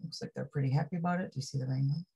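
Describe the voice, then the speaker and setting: A woman reading a picture book aloud to young children, expressively, with drawn-out phrases and chatty asides that put questions to the listener. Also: extremely low pitch, creaky, mellow, slightly rough. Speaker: storybook reader.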